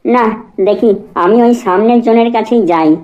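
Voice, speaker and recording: A woman's voice: on the high side, moderate pace, darkish tone, lo-fi sound, close to an everyday voice. A woman with a western rural West Bengal accent playing a cheeky, grumbling street interviewer in a comedy cartoon. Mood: teasing, wary. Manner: teasing, grumbling. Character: cheeky, muttering street television interviewer at liquor queue.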